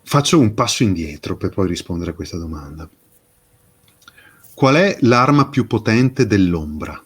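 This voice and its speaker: A man speaking as an interview guest, explaining with passion and emphasis, posing rhetorical questions and pausing for effect. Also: low voice, big pitch swings, husky. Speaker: interview guest, fairy tale expert. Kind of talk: impassioned explanatory interview talk.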